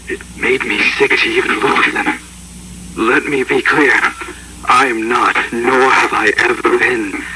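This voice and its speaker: A shaken anthropologist, played by a man, confesses in a halting, haunted way for an analog horror story. His mid-pitched voice has a gravelly creak, and the gritty tape is thick with noise. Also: close to an everyday voice. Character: shaken male anthropologist confessing a dreadful mountain discovery. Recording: gritty, very noisy tape recording.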